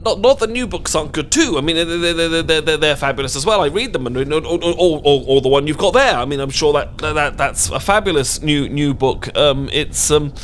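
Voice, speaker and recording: A man's voice: fairly high pitch, animated, brisk, hardly any pauses, fairly bright tone, a little hiss or hum. A man playing a stammering bookish British guy. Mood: nervous. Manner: stammering, rambling, flustered. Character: nervous bookish British man, awkward and endearing. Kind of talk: ASMR romance roleplay.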